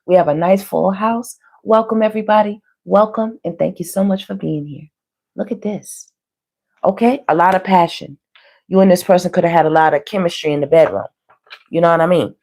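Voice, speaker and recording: A hoarse woman giving a casual, conversational tarot reading to online viewers, speaking colloquially and often checking in with her listeners. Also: fairly low pitch, brisk, a little mellow. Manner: colloquial, frequently checking in with "you know" and "okay". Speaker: tarot reader hosting a live online reading.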